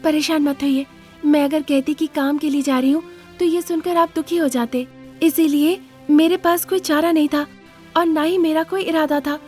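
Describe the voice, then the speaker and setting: Self-sacrificing young daughter-in-law for a moral tale female reader acting, high-pitched, respectful, pleading, music underneath. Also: fairly even intonation, moderate pace, darkish tone, close to an everyday voice. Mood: reassuring. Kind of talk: moral story character voice.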